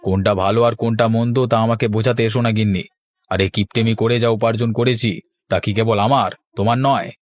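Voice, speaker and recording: A man's voice: fairly low pitch, steady tone, fairly quick, natural voice. A man voicing a stingy village husband in a cartoon, protesting in colloquial, dramatic speech. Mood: defensive. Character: stingy village husband, grumbling and evasive about money. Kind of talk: Bengali cartoon dialogue.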